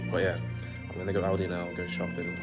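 A man's voice: low-pitched, quick pace, loud music behind the voice. A young man in his twenties, a footballer, talking casually to a phone camera from his car, chatty and full of fillers. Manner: relaxed, chatty, many "like" fillers. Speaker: footballer vlogger. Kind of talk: casual vlog talk to camera. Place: inside a parked car. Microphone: phone camera at arm's length.

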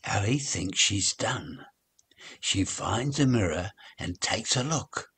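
An older man reading a children's story aloud, with expressive, deliberate phrasing. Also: lowish voice, husky. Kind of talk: children's read-along story narration.

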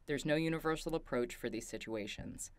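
A narrator reading a training script in an even, instructive way. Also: mid-range voice, a little fast, clear, resonant tone, no room sound.

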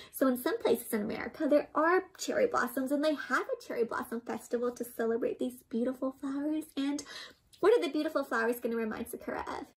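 A young woman doing an interactive storybook read-aloud for children, expressive and gently teacherly, often putting questions to her listeners. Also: high voice, a little fast, breathy edge. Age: young adult. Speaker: children's storybook reader and host. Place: small indoor room with books and plants.

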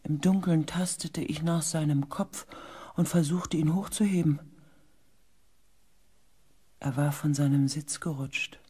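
An actor playing an anxious researcher in a science fiction radio drama, speaking in an uneasy, hesitant way. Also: male voice, on the high side, darkish tone, husky. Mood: tense. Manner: hesitant, uneasy, searching for words. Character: anxious male researcher on a space expedition.

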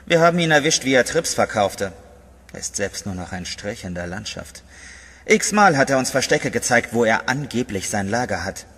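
An actor playing a stern, condescending narcotics captain in a German radio play. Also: male voice, mid-range voice, fairly bright tone, husky, in a large hall. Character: stern older male narcotics captain, mocking.

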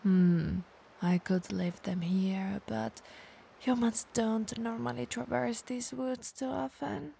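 A woman playing a teasing, dominant but caring vampire in an ASMR audio roleplay, breathy and gravelly, often dropping to whispers, coaxing and playfully condescending. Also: fairly high pitch, steady tone, slow, warm tone, slight background noise, full character voice. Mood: musing.

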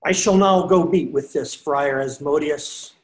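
A man's voice: fairly high pitch, unhurried, natural voice. An older man playing a devout, stubborn friar in amateur readers theater, with bold, declamatory archaic speech. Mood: determined. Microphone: computer mic on a video call.

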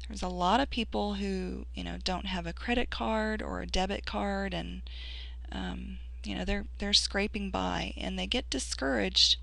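A woman's voice: mid-range voice, steady tone, measured pace, creaky, bright, forceful tone, no room sound, poor audio. A woman who has lost her voice, giving a casual screen-recorded tutorial as a sponsor, earnest and encouraging with frequent fillers and restarts. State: losing her voice.

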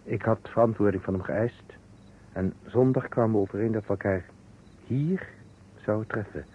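An actor in an old Dutch radio play playing a weary surgeon, speaking in short, deliberate phrases. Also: male voice, fairly low pitch, natural voice. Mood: grave, confessing. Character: weary male surgeon coolly confessing a false alibi. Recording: dull, noisy old radio recording.

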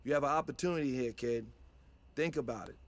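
A steady older mentor in a game cutscene, voiced by a man, calm and fatherly. His voice sits mid-range, and he gives advice in an even, patient way. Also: medium pitch, fast talker, close to an everyday voice. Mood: advising. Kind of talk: video game cutscene acting.

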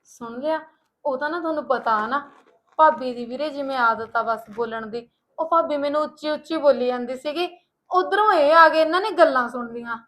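A woman's voice: sits high, moderate pace, fairly bright tone. A woman playing a meek married younger sister, pleading and conciliatory, in a family drama. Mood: anxious, covering up. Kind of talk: Punjabi domestic drama dialogue.

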